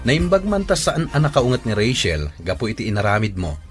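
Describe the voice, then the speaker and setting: An actor playing a concerned, admonishing young friend in a Filipino radio drama, speaking earnestly with a Filipino accent. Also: male voice, on the low side, wildly swooping pitch, brisk, faint music behind. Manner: earnest, reproving. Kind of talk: Philippine radio drama acting.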